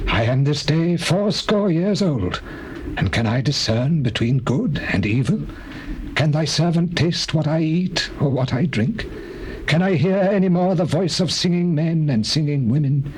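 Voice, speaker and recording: A man's voice: fairly high pitch, lively intonation, normal speed, a little mellow, close to an everyday voice. An actor playing a very aged, humble and weary man in dramatized scripture, speaking plaintively. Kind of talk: dramatized scripture, classical stage acting. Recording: hissy old cassette tape.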